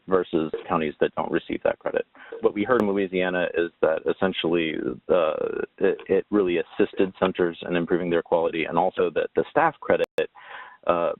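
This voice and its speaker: Deep-voiced man presenting, dull lo-fi audio. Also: no echo. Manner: hesitant, halting, informal explaining. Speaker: webinar presenter on tax credit policy. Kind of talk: presenting a slide talk. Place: remote webinar call. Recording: dull lo-fi call audio, very dry.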